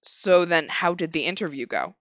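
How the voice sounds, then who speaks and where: A woman's voice: low voice, expressive, normal speed, fairly bright tone, no room sound, voice pushed down. A woman voicing a stern adult man who speaks bluntly and impatiently.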